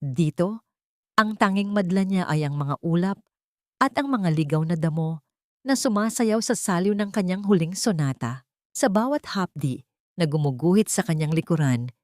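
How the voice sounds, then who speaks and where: Audio story narrator: Filipino woman, lower register, solemn literary delivery, very dry sound. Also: expressive, moderate pace, dry recording. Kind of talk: scripted dramatic narration.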